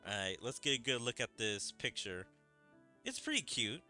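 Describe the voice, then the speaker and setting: A man's voice: mid-range voice, big pitch swings, normal speed, sharp tone, faint music behind. A man giving casual, rambling, chatty commentary on a game's story. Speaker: gaming streamer.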